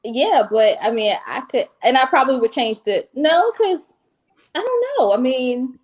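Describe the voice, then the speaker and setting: A young woman singer-songwriter from Southern Virginia, chatting casually with a naturally higher voice and easy laughs. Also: very animated, measured pace. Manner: chatty, laughs easily, restarts mid-sentence. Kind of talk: casual chat.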